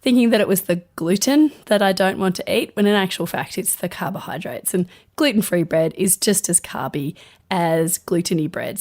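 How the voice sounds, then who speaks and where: A hoarse, croaky woman, chatting on a podcast about her own experiences. She speaks at a middling pitch in a gentle, earnest storytelling manner. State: hoarse from laryngitis. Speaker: podcast co-host, doctor. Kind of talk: casual podcast chat.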